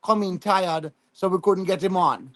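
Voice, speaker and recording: A man's voice: high voice, moderate pace, a crowd in the background. A man hosting a casual chat and asking questions, with many short, encouraging acknowledgements. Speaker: host.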